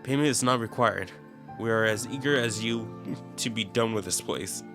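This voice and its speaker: A man's voice: lowish voice, moderate pace, music in the background, lightly acted. A man voicing a pompous, formal talking magic book in a game story. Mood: lofty.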